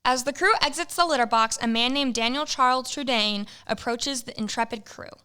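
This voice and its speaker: A woman narrating an amateur audio adventure in a playful, measured way. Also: fairly high pitch, pushed and bright, clean tone. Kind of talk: scripted storybook narration.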